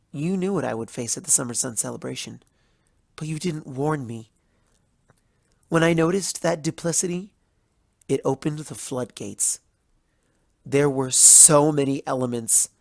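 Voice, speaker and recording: A narrator voicing a betrayed, bitter young female pony student in a measured, dramatic first-person story monologue. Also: medium pitch, gravelly, no room sound, clean studio sound. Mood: hurt, accusing. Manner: measured, dramatic, wounded, accusatory delivery.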